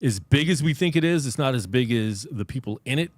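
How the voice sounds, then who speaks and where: Animated podcast host in his late forties. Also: medium pitch, brisk, no room sound. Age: 40s. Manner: animated, talks over others, repeats words. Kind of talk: casual interview chat. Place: podcast studio.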